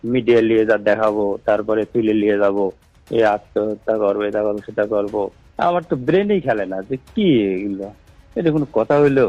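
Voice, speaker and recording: Dark-voiced defensive man on a phone recording. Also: lowish voice, brisk, dry recording. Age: middle-aged. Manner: defensive, explaining himself. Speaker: caller, local political party official. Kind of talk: private phone conversation. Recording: dull dry phone call, faint music.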